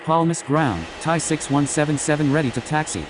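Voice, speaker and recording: A man's voice: animated, a little fast. A man speaking as an airline pilot in scripted air traffic phraseology. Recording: loud noisy rumble and hiss.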